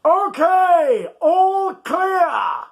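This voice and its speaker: A woman puts on a crafty storybook cat captain with a bright, raised voice. He calls out to his crew with glee and sly cheer. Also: extremely high pitch, sing-song, very slow, creaky, voice pushed up, exaggerated voice. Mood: gleeful. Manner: bright, gleeful, calling out.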